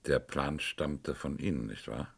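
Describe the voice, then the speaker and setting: An actor playing a shrewd, sardonic police inspector in a crime radio play, wry and teasing with suspects. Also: male voice, extremely low pitch, measured pace, creaky, husky, full character voice. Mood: grave, insistent.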